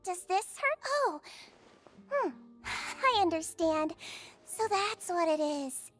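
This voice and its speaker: A sweet little nurse from an anime game. Her voice is high and lilting, with a polite, soothing, attentive bedside manner over soft music. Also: animated, slow pace, exaggerated voice. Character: gentle, sweet, small female nurse creature, caring and attentive.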